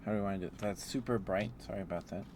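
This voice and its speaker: A man's voice: fairly low pitch, very fast. A man doing a live ASMR stream, chatting to viewers in an affectionate, apologetic, rambling way. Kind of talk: ASMR livestream chat with viewers. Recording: clear background noise, keyboard typing.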